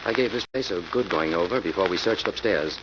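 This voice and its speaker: An actor as a deep-voiced, commanding old-radio detective. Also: male voice, close to an everyday voice. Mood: intent, deducing. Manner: brisk, measured, commanding. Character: calm, authoritative male detective solving a murder. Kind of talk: 1940s radio mystery acting. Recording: muffled, noisy vintage radio recording.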